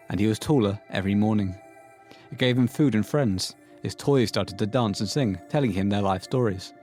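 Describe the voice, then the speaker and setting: A man's voice: on the low side, darkish tone. A man reading scripted narration for a horror audio play as its storyteller.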